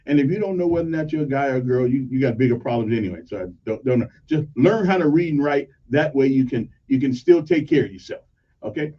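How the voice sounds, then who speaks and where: A man's voice: medium pitch, expressive, fast talker, dull audio. A man hosting a radio talk show with a Southern US accent, rambling and joking, often tagging his points with "okay?". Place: radio studio.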